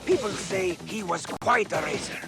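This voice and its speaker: A man's voice: sits high, lively intonation, normal speed, loud music behind the voice. A man voicing a chatty, self-important talking parrot who works as a slick sports commentator in a video game. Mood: showy. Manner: showy, slick, theatrical. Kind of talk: video game cutscene acting.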